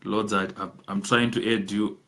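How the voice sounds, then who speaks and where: A man's voice: lowish voice, level tone, moderate pace, sharp tone. A man with a Zimbabwean accent hosting a live call-in show, reading out viewer comments and mixing English with Shona. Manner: reads out comment names, switches between English and Shona.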